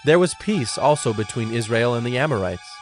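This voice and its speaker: A man narrating scripture from a script in a measured, solemn storytelling manner. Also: medium pitch, lively intonation, soft background music.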